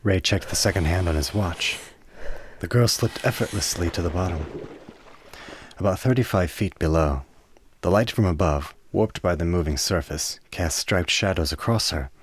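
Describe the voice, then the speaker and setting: A man narrating a scripted drama in a measured storytelling manner. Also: sits low, slightly rough.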